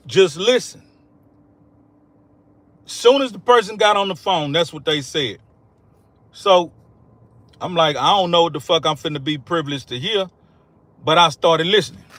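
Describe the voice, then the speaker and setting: A man with a fairly high voice tells a story on a livestream. He speaks very slowly and deliberately, in casual slang, leaving pauses between phrases. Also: pushed and bright, no room sound.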